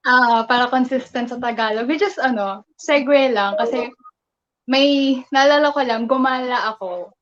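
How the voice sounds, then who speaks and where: Filipino lady poet on a video call, kinda high voice, muffled lo-fi audio, chatty. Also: moderate pace, a bit bright. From Philippines. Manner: switches between English and Tagalog, laughs often, chatty. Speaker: guest poet and flight attendant. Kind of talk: casual video call interview.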